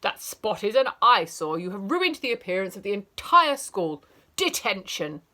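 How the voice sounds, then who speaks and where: Woman doing a furious old schoolmistress, spiteful, barking, loud and sharp, swoops wildly up and down, bright piercing tone. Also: medium pitch, very animated, normal speed. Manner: shouting, spiteful, barking orders.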